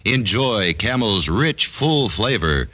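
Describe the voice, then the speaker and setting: A man's voice: fairly low pitch, sing-song, slow, bright, forceful tone. A man working as an announcer, reading a scripted commercial in 1940s radio style. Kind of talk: 1940s radio advertisement read.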